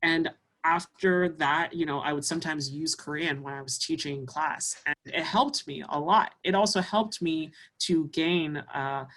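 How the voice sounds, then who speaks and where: A woman's voice: sits low, a little slow, fairly bright tone. A woman from Canada, a musician guest, talking casually in an interview with many fillers.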